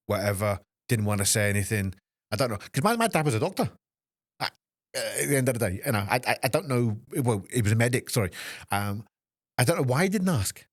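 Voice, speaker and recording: A Scottish man in his fifties, a fitness trainer hosting a solo podcast, speaking in an emotional, halting way with many restarts. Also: lowish voice, no room sound, studio quality. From Scotland. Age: 50s. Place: small podcast studio.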